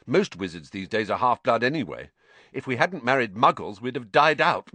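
A man reading an audiobook, voicing a hoarse, weak schoolboy. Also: medium pitch, big pitch swings, moderate pace, sharp tone, no echo, pitched above the performer's own voice. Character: boy, sick schoolboy coughing up slugs.

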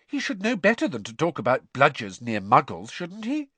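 A man voicing a curious young girl who speaks in a high, questioning voice. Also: wildly swooping pitch, moderate pace, a bit bright, dry recording, exaggerated voice. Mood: surprised.

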